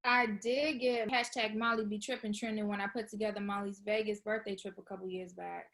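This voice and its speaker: A woman's voice: medium pitch, fairly quick, natural voice. A woman reading the part of a playful, slangy young woman in a comedy script table read. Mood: grateful, casual. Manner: slangy, animated reactions. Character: Issa, playful broke young woman, teasing and casual.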